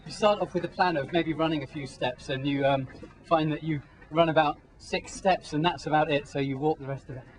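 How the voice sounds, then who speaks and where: Higher-voiced man, chatty runner. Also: steady tone, brisk, poor audio. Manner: enthusiastic, conversational. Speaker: marathon runner. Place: outdoors at a race.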